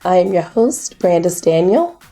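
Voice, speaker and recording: A woman hosting a podcast, talking in a casual, animated way. Her voice is naturally raspy and hoarse from a cold. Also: lowish voice, unhurried, faint music behind. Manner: animated, storytelling with "girl" asides. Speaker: podcast host. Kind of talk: casual chat.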